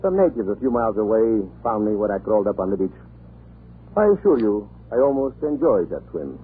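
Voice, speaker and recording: An actor playing a formal, self-assured government inspector of the Tsar in a 1940s radio drama, cool and drily amused. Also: male voice, on the low side, very animated, fairly quick, a little hiss or hum. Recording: muffled old radio broadcast.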